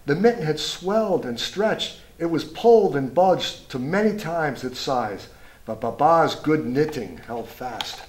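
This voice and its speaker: A man reads a children's picture book aloud in an expressive storytime style, pausing to point things out and ask young listeners questions. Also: on the high side. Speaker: storybook reader, school superintendent.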